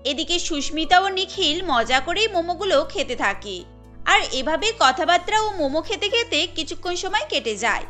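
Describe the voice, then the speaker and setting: A woman narrates a scripted cartoon story. Her voice is strikingly high and bright. Music plays underneath the narration. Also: animated, normal speed.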